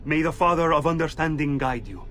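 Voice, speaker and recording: An actor playing a paranoid, superstitious conspirator, brooding and fearful, in a video game. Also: male voice, a little high, lively intonation, fairly quick, a bit bright, soft background music. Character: paranoid, superstitious male conspirator reading his letter aloud.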